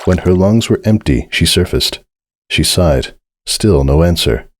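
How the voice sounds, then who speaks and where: A low-pitched man narrates fiction in a dramatic, solemn manner. His phrasing is measured and deliberate, and the recording is close and dry. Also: expressive, no room sound. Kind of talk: dramatic scripted story narration.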